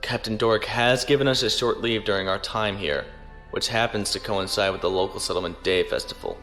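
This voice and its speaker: A man playing a dutiful, reserved naval lieutenant in a science fiction audio drama, speaking in a formal, measured way. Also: fairly low pitch, a bit bright, soft background music. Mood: formal, weary. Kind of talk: audio drama acting, log narration.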